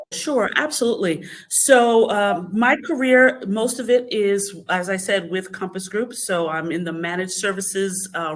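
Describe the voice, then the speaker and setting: A woman from the Bahamas appears as a podcast guest. Her voice sits at a middle pitch, and she explains things in a measured, steady way. She often pauses with "um" and "uh" as she works through her answers. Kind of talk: conversational podcast interview.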